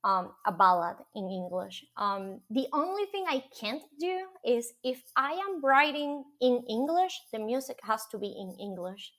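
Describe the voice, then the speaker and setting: A woman with a Venezuelan Spanish accent talks in English on a podcast, her first language being Spanish. Her voice sits a little high, and she gathers her thoughts as she goes, pausing on ums and restarting sentences. The tone stays relaxed and conversational. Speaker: podcast guest. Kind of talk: casual interview chat.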